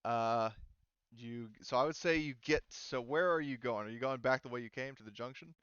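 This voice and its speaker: Clear-voiced man running a game, dry sound. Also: mid-range voice, moderate pace, a bit bright, no echo, dull audio. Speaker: game master. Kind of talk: casual tabletop game narration.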